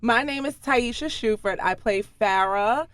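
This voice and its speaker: A woman voice actress chatting casually as an interview guest, telling anecdotes in an animated way. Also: a little high, measured pace, over a noisy crowd.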